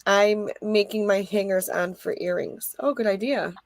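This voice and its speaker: A woman co-hosting a live crochet show, reading out viewer comments with quick agreeing replies, a little stuffy and sniffly. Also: mid-range voice, steady tone, dry recording. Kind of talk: casual chat on a livestream.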